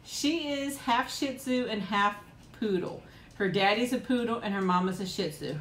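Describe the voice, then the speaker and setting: A woman in middle age hosting a casual live chat with viewers at home, rambling and laughing often, with frequent ums. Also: moderate pace. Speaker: host of a live chat and eating stream. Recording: echoey room with faint rumble.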